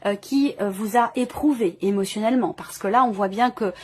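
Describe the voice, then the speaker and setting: A woman's voice: mid-range voice, a little fast, a little hiss or hum. A woman giving an improvised tarot reading as host, feeling her way through phrases and often rewording as she goes. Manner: hesitant, searching phrasing with repeated rewording. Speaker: tarot reader hosting a video reading. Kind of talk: intuitive tarot reading, improvised spoken commentary.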